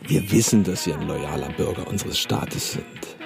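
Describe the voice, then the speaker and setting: An actor in a German radio drama playing an oily, chummy, quietly menacing East German state security officer, insinuating and bureaucratic. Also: male voice, low voice, moderate pace, gravelly, music in the background. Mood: officious, insinuating. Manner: insinuating, bureaucratic, with knowing chuckles.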